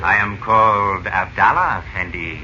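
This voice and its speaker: An actor playing a mysterious Egyptian messenger in 1940s radio serial style, formal, deferential and cryptic. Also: male voice, on the low side, normal speed, pushed and bright. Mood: calm, cryptic. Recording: muffled, noisy old radio recording.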